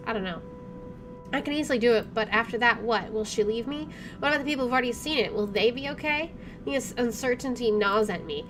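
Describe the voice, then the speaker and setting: A woman playing a visual novel, reading the text aloud dramatically and reacting with casual commentary. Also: a little high. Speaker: gamer doing a playthrough. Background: soft game music.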